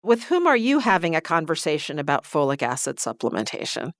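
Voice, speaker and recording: A woman's voice: low voice, big pitch swings, brisk, no echo, clean studio sound. A woman hosting an interview podcast, asking structured questions and recapping the answers plainly. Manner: clear, structured, recapping what was said.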